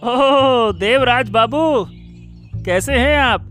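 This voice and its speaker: A man's voice: extremely high pitch, fairly quick, pushed and bright, soft background music. A man voicing a sarcastic, complaining village son in a cartoon story. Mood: polite. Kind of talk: animated moral story acting.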